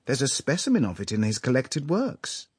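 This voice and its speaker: A man's voice: mid-range voice, very animated, normal speed, husky, dry recording, clean studio sound, voice pushed up. A man playing a self-important writing master and awkward suitor in a story reading. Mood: dismissive.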